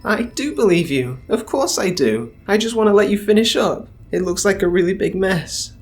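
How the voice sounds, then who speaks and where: A man voicing a fearful young husband and mother who pleads in a high, strained voice. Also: expressive, moderate pace. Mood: forced calm. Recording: faint music underneath.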